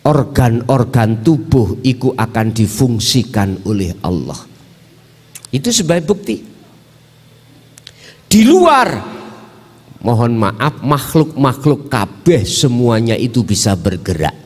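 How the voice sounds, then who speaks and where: A man's voice: medium pitch, sing-song, slow, long pauses, husky. A man with a Javanese accent preaching an Islamic lecture in a didactic way, putting rhetorical questions to his listeners. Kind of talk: religious lecture mixing teaching and colloquial asides. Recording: hall with long reverb.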